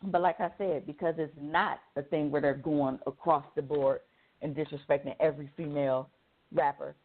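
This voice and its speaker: A woman co-hosting a talk show, speaking casually in a blunt, insistent way with plenty of swearing. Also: sits low. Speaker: radio show co-host. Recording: thin phone-like audio.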